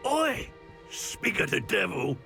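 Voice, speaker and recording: Gravelly game thug, slow and menacing, faint music behind. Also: male voice, high-pitched, huge pitch swings, sharp tone, heavily acted. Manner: rough, threatening. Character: gruff male thug, menacing.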